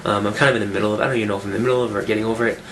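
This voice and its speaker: A man rambles through a casual update, his voice roughened by a cold he is getting over. He sits on the low side and keeps circling back to restart his sentences. A steady hiss of noise runs under the recording. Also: very fast. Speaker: fitness vlogger. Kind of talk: casual weekly update vlog.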